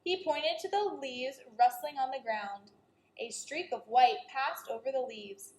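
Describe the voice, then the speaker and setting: A woman's voice: sits high, measured pace, a little mellow, echoey room. A woman teacher reading a children's story aloud, expressively and speaking directly to her young listeners.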